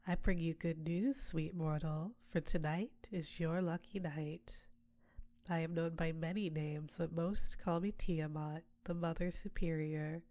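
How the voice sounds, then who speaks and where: Ancient succubus queen played by a woman, sultry, coaxing, soft whispers, lowish voice, very slow audio-drama delivery. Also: fairly even intonation. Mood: seductive. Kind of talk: audio roleplay.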